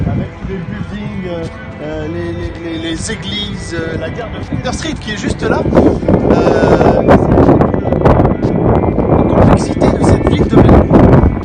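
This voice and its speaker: Man, high voice, joking self-made tour guide outdoors in the street, hesitant delivery, loud music behind. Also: rough recording. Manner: jokey, hesitant, halting with frequent pauses. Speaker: self-styled tour guide sending a wedding video message. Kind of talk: casual outdoor video message, playful tour-guide patter. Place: outdoors on city streets and by a river.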